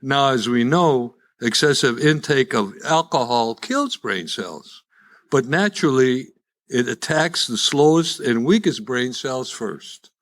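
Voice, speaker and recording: An elderly man with a Chicago accent speaks to a live meeting in a medium-pitched voice. He tells his own story in a folksy, conversational way, with a wry, joking touch. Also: expressive, takes it slowly, fairly bright tone. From Chicago, USA. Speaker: guest speaker at a recovery meeting. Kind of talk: live personal testimony with jokes. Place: live in-person meeting before an audience.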